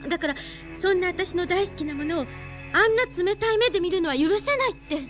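A woman's voice: extremely high pitch, fairly quick, a bit bright, faint music behind. A woman playing an earnest, impulsive young heroine in a radio drama, speaking in a girlish, colloquial way. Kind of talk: radio drama acting and first-person narration.

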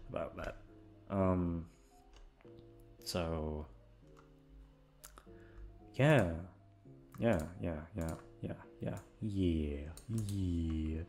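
Young British man, casual rambling chat, unhurried delivery, hesitant, repetitive, self-deprecating, faint music behind. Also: low voice, vocal fry, a little mellow. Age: young adult. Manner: hesitant, meandering, self-deprecating, repeats words. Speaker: voice actor talking to listeners.

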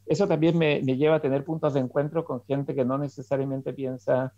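A man's voice: mid-range voice, level tone, brisk, mellow, dry recording. A middle-aged man, a political scientist guest on a video call, recounting his life with many ums and restarts. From Chile. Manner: reflective storytelling with many fillers and restarts. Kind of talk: interview over video call. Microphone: video call headset. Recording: muffled dry video call audio.

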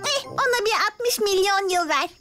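A super high childlike cartoon kid, clever explainer type, sensible, loud music under it. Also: female voice, lively intonation, normal speed. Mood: matter-of-fact. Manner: matter-of-fact, explanatory. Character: Limon, sensible know-it-all cartoon child character. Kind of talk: cartoon voice acting.

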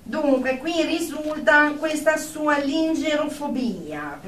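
A woman's voice: high-pitched, expressive, slow, a bit bright, heavily acted. A woman playing a formal, probing therapist in a radio drama, speaking in pompous clinical jargon. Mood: clinical, inquisitive. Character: officious, probing female therapist interviewing a patient. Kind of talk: Italian radio drama acting. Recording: roomy, distant mic, faint noise.

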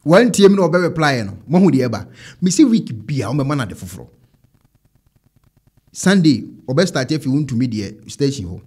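A man in his thirties with a Ghanaian accent, giving animated commentary as an online show host into a close desk microphone. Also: medium pitch, normal speed, husky. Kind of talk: animated commentary on trending videos. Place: indoor home studio.

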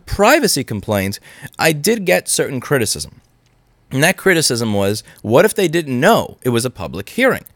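A man's voice: medium pitch, no echo, clean studio sound. A man in his thirties giving an indignant, argumentative commentary straight to camera, pushing his points with emphatic rising stresses. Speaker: repair shop owner and channel host. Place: indoor room with brick wall and couch. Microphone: studio mic on boom arm in front.

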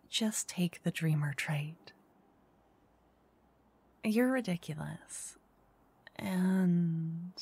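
A woman doing a soft-spoken ASMR roleplay as a flirty dungeon master. She teases, then whispers close into each ear in turn. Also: on the low side, slightly rough. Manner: teasing, flirty, switching between whispers and soft speech. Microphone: close binaural mic.

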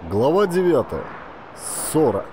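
A man reading a scripted first-person story as an audiobook narrator, with an actor's expressive delivery. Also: fairly high pitch, takes it slowly, background noise.

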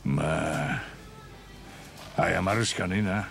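An actor playing a frank, worldly man who gives tough advice in rough, matter-of-fact speech in a game. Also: low voice, drawn-out words, a bit bright, soft background music, full character voice. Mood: grim, frank. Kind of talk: dramatic Japanese video game voice acting.

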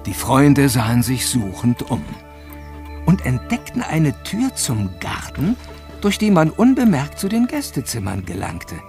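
A man's voice: medium pitch, huge pitch swings, a little slow, creaky, darkish tone, soft background music, exaggerated voice. A man narrating a children's adventure radio play as its storyteller. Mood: storytelling.

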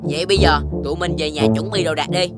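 A woman's voice: on the low side, fairly even intonation, quick pace, natural voice. A woman voicing a grumbling, greedy chubby child in a children's cartoon, with a whiny, complaining delivery. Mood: fed up. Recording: loud music under the voice.

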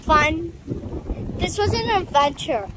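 Very high, slow, playful woman. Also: lively intonation. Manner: playful, giggly, sing-song. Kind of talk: casual outdoor banter. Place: outdoors by water. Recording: loud wind on camera mic.

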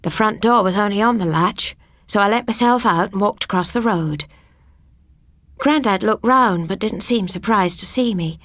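A woman narrating a scripted first-person ghost story as an audiobook reader, with a husky voice. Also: medium pitch, moderate pace, a bit bright, no room sound, clean studio sound.

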